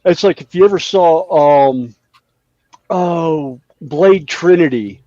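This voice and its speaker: Casting note: man in his fifties, high voice, jokey and animated, dull dry recording. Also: takes it slowly, dry recording. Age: 50s. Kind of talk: casual chat on a live stream.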